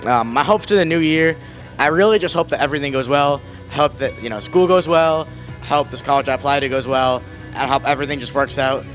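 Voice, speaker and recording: A man's voice: mid-range voice, fast, a bit bright, poor audio. A teenage male student giving a casual interview answer. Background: music.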